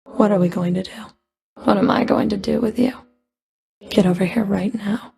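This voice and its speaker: A woman's voice: medium pitch, fairly even intonation, normal speed, vocal fry, dark tone. A woman playing a stern, sardonic underworld fury in a video game, speaking in a cool, clipped, dry and commanding manner. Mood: teasing. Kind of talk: video game character voice lines.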